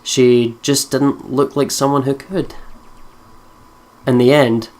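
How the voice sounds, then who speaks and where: A Scottish woman hosting a let's play, chatting loosely and swearing as she goes. She laughs a lot and reads the game's text aloud in between her comments. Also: a bass voice. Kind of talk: casual gameplay commentary and reading aloud.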